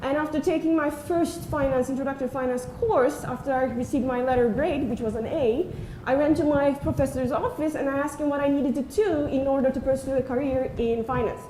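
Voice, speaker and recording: A woman, giving an earnest, friendly conference talk with frequent pauses. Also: high voice, dark tone. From Turkey. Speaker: invited speaker, assistant professor. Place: lecture room. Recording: echoey room, faint noise.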